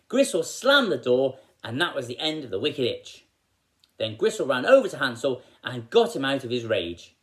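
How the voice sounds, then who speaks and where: A man reading aloud as a storyteller for children, in an expressive style. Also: medium pitch, low-quality recording.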